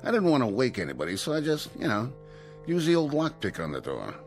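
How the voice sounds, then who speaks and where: An actor playing a dim, affable escaped convict in a radio drama, folksy, naive and ingratiating. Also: male voice, medium pitch, huge pitch swings, faint music behind. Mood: affable, sheepish. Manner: folksy, slow-witted, ingratiating.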